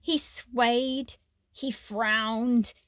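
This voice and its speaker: A woman's voice: fairly high pitch, steady tone, very slow, dry recording, clean studio sound. A woman reading a children's picture book aloud expressively, with explanatory asides to young listeners.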